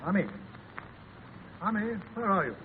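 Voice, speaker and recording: An actor playing an attentive, courteous gentleman suitor in a 1930s radio drama. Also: high voice, lively intonation, measured pace, narrow, tinny audio. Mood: solicitous. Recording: thin 1930s radio recording, faint hiss.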